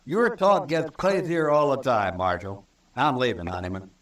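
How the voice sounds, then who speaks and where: A male actor playing a surly, evasive American cowhand with rough rural speech in a 1950s radio western. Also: medium pitch, moderate pace, slight background noise, natural voice. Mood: impatient. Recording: old radio recording, roomy and distant.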